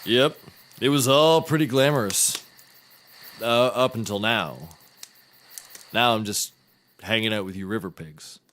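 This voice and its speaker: An actor playing a boastful, washed-up international secret agent in a scripted comedy, talking in a bombastic, grandiose way. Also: male voice, fairly low pitch, a little slow, long pauses, a bit bright, a little hiss or hum. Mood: jaded.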